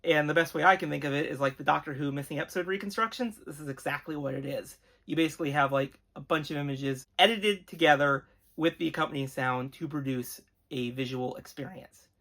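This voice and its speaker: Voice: mid-range voice, brisk, creaky, hardly any pauses, a bit bright. An earnest, appreciative host giving an enthusiastic, conversational review with emphatic delivery. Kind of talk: enthusiastic retro cartoon review, conversational commentary.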